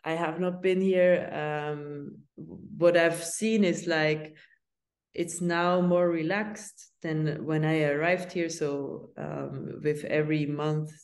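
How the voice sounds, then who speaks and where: A woman's voice: sits low, steady tone, darkish tone. Swiss woman, thoughtful and hesitant. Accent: Swiss German. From Switzerland. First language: Swiss German. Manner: thoughtful, hesitant, many fillers and pauses. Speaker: guest journalist. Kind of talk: casual interview chat.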